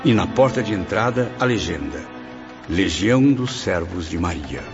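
A man's voice: deep voice, animated, normal speed. An actor playing a remorseful man, a soul rescued from darkness, who narrates his memories and snaps at his companions. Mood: reminiscing. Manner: emotional, sharp-tongued with companions. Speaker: first-person narrator and lead. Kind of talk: radio drama acting. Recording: dull lo-fi audio with music bed.

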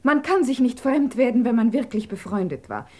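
An actress in a German radio drama playing an earnest, emotional émigré woman who speaks intimately, sometimes dropping to a hushed, breathy tone. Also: a little high, expressive, moderate pace. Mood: pleading, wistful.

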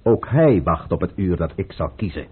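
An actor playing a scheming, controlled count and royal adviser with a measured, formal manner in a radio play. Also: male voice, sits low, lively intonation, slightly rough, full character voice. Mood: resolute. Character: scheming, controlled male count advising the tsar, secretly tormented. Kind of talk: Dutch radio play acting. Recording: tinny, muffled old radio play recording.

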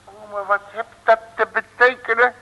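Cocky blustering detective, high-pitched, piercing, cheeky, old reverberant radio recording. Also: male voice, level tone, normal speed, sharp tone, highs cut off, exaggerated voice. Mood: indignant. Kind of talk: 1950s-60s Dutch radio play acting.

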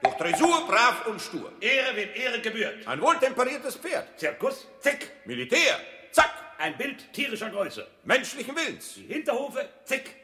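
An actor playing an excitable, proud circus horse trainer in an absurdist radio drama, speaking in clipped, staccato commands. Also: male voice, very high-pitched, unhurried, exaggerated voice. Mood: barking, pompous. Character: excitable circus man proudly drilling a dressage horse, touchy. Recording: long reverberant hall, music behind.

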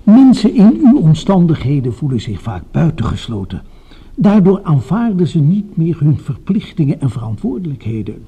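An actor playing a smooth-talking, patronising and quietly sinister male doctor in a Dutch radio drama, placating and measured, with a breathy voice. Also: fairly high pitch, huge pitch swings, dull audio, full character voice. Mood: soothing, patronising.

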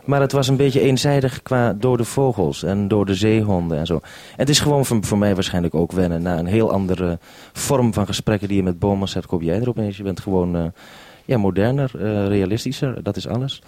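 A man's voice: on the low side, brisk, hardly any pauses, a little mellow, dry recording. A man talking over a two-way radio link as a programme maker, hesitant and considerate, handing over as he goes. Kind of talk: two-way radio conversation with handovers.

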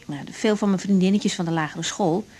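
An actress playing an idealistic young doctor in a radio drama, speaking candidly with reflective hesitations. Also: female voice, lowish voice, brisk, a little mellow, lightly acted. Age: young adult. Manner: hesitant, reflective, self-searching. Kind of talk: naturalistic radio drama dialogue.

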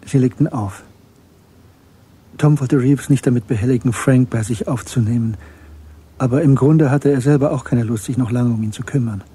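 A man narrating a German radio crime drama in a measured, matter-of-fact way. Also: mid-range voice, warm tone, husky. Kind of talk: scripted audio drama narration.

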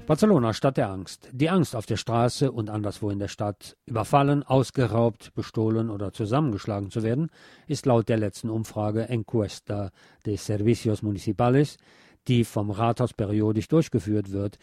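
A man's voice: lowish voice, normal speed, warm tone, no echo, clean studio sound. A man presenting local news on the radio in a scripted reading style.

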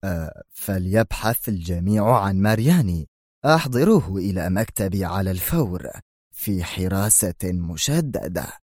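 A gruff, menacing older crime boss, as a male narrator voices him, dropping each word slowly and heavily. The tone is low, dark and mellow. It is recorded close and dry. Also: expressive, no echo. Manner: slow, heavy, menacing. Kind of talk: audiobook character reading.

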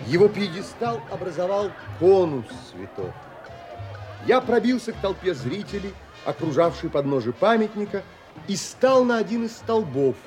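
An actor playing an earnest, troubled adventurer who tells his own story in theatrical, emotionally involved audio drama narration. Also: sits high. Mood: reflective. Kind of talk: dramatic first-person literary narration.

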